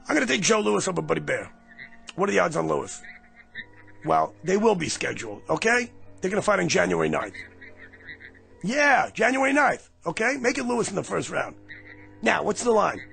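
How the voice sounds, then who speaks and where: An actor playing a brash, fast-talking man who claims to be going back in time, with a pushy, street-smart manner in a radio drama. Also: high voice, vocal fry, a bit bright, full character voice. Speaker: lead character. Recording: radio play with music.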